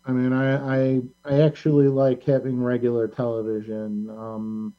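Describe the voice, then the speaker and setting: A man hosting a casual pop culture discussion, talking in a halting, rambling, opinionated way. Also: mid-range voice, little pitch movement. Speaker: talk show host. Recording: band-limited, dull lo-fi sound.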